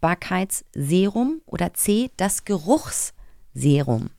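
A woman's voice: fairly low pitch, very animated, slow, mellow, no room sound. A woman hosting a casual chat and quiz in a conversational way. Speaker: host.

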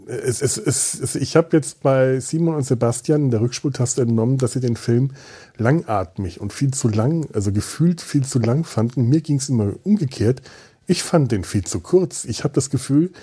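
Husky man rambling on a solo podcast. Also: mid-range voice, warm tone. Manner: halting, self-interrupting, repeats words. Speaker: podcast host.

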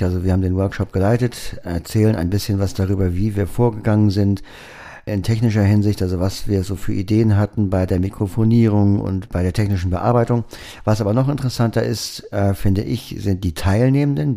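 A man speaks in a low, mellow voice. He explains things calmly and steadily, with the odd hesitation as he leads into a recorded panel. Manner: calm, explanatory, with fillers. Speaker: workshop leader introducing a recording. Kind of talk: recorded spoken introduction.

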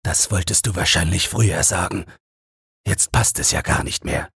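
A man's voice: low voice, moderate pace, creaky, fairly bright tone, slightly rough, no echo, exaggerated voice. A man voicing a vengeful, mocking old father in an audiobook, taunting and declamatory as he condemns. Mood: pitying. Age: older. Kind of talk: dramatic audiobook character reading.

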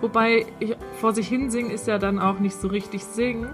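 A woman's voice: fairly high pitch, fairly even intonation, a little fast, over music. A woman co-hosting a podcast in casual chat, telling anecdotes in a chatty way. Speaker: podcast co-host.

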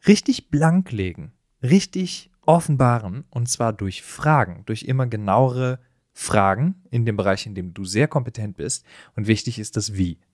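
A man's voice: mid-range voice, a little mellow, dry recording, clean studio sound. A man hosting a podcast, giving casual, direct advice in a coaching manner. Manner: coaching, direct, often laughing.

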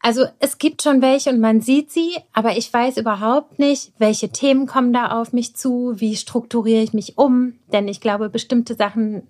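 A woman's voice: on the high side, steady tone, normal speed, hardly any pauses, a little mellow. A woman in her forties hosting an interview, asking reflective, thoughtful questions. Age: 40s. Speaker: podcast host, interviewer. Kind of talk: conversational interview.

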